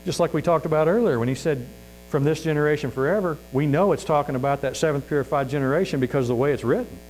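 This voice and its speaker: A man's voice: fairly high pitch, fast, a little mellow, low-quality recording. A man with a Missouri accent preaching a sermon in a folksy, earnest way, full of fillers and restarts. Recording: faint mains hum.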